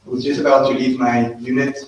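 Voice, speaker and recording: A man's voice: mid-range voice, fast talker, dull audio. A South African man in his thirties tells his story to a live audience in earnest, conversational tones. His voice comes from a distance and rings around a very echoey room. There is a faint hiss in the background. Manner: earnest storytelling, animated when quoting others. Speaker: guest speaker. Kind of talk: personal talk to a live audience. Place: event room.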